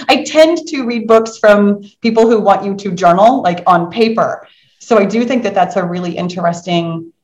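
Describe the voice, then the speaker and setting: Middle-aged woman, casual chatty host, affirming coach manner, mid-range voice, noticeably echoey recording. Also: medium pitch. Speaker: book club host and coach. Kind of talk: casual chat in a live online book club. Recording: roomy, echoing sound.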